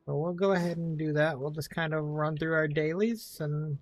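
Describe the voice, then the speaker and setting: A man giving casual, low-key live commentary as he streams a video game. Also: steady tone, moderate pace, no room sound. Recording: game music behind the voice. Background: video game music.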